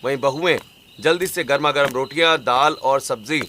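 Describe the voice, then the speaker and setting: A man's voice: mid-range voice, normal speed, bright, forceful tone, slight background noise. A man reading scripted narration for a cartoon story as the narrator.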